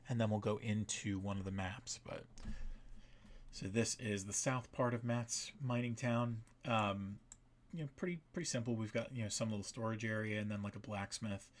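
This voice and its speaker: Male stream host, lower register, informal and halting, talking through things casually. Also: a little hiss or hum. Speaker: map maker and stream host. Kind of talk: casual livestream commentary.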